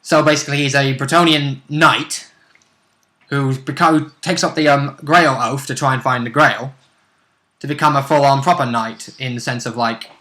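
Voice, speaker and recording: A man's voice: mid-range voice, steady tone, normal speed, bright, forceful tone. A man co-hosting a tabletop role-play show, explaining in casual, rambling chat and stumbling over his words.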